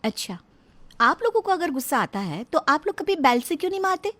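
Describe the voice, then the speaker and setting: A woman's voice: high-pitched, very animated, a little fast, fairly bright tone, pitched above the performer's own voice. A woman voicing a bold, outspoken young daughter-in-law who argues indignantly in a moral story. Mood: challenging. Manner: indignant, argumentative. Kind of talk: acted dialogue in a moral story.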